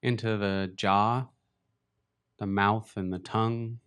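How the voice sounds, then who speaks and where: Meditation teacher, male, low smooth voice, gentle and unhurried guidance. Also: fairly even intonation. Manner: slow, gentle, unhurried guidance with long pauses. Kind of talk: guided meditation.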